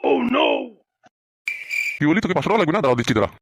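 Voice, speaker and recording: Struggling, irritable Bengali cartoon father voiced by a performer: mid-pitched, hurried, scolding delivery. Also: very animated, shouting, heavy background noise. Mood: angry scolding. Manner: scolding, grumbling. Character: poor, hot-tempered father, a struggling vegetable seller. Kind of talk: comic cartoon dubbing.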